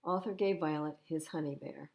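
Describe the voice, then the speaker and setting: A woman's voice: deep voice, moderate pace, creaky, a little mellow. A woman reading a storybook aloud to children as a storytime host, with chatty asides. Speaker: library storytime reader.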